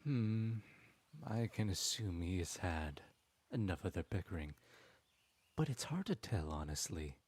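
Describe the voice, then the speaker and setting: A man voicing a sly, smooth-talking male trickster god in an audio roleplay, speaking in a silky, teasing and persuasive way. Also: deep voice, expressive, unhurried, darkish tone, full character voice. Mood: scheming.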